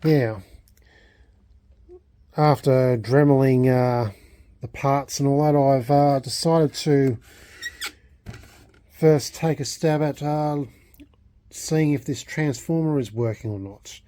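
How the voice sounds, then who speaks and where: A man talking unscripted as a hobbyist vlogger, in a halting way, often repeating and rephrasing himself. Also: medium pitch, warm tone. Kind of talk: unscripted restoration vlog talk.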